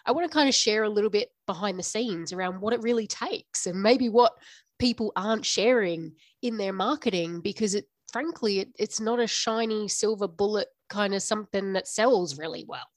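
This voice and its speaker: A woman in her thirties hosting her own podcast, a little nasal and stuffy from the flu, talking frankly and unscripted with a quick laugh now and then. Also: mid-range voice, no echo, clean studio sound. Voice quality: slightly nasal. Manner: frank, tells it like it is, laughs often. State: stuffy nose after the flu. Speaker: podcast host. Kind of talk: unscripted business podcast monologue.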